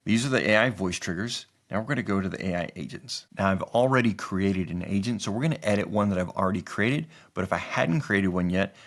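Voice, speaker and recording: Low-pitched man hosting a software tutorial. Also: gravelly. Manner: instructional, explanatory. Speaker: tutorial host. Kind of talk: step-by-step software tutorial narration.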